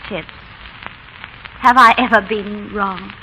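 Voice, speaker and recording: An actress playing a warm, playful young wife who gently teases and coaxes, in 1930s radio drama style. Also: female voice, mid-range voice, fairly even intonation, slow. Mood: coaxing. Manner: affectionate, teasing, persuasive. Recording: dull, noisy 1930s radio recording.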